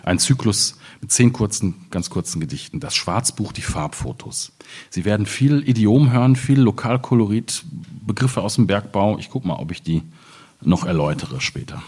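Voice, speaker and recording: A man's voice: on the low side, sing-song, normal speed, darkish tone, slightly rough. A man from the Ruhr area in Germany, a poet talking casually and explaining things at a reading.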